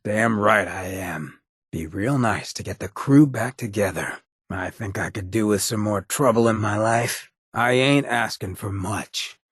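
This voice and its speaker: A roguish ghoul companion from a video game, with a heavily rasping, fairly low voice. He drawls street slang with laid-back swagger and sardonic loyalty. The sound is dry, with no room on it. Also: a little slow, fairly bright tone, no room sound. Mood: cool, sardonic. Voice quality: gravelly, raspy rasp.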